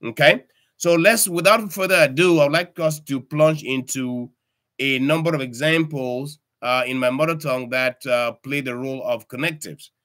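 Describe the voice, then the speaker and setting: A man's voice: mid-range voice, a bit bright. Older man teaching, full of fillers. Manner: halting, many fillers and restarts, addresses viewers as friends. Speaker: language tutor. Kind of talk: language lesson to camera. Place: home study with bookshelves. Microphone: webcam at desk.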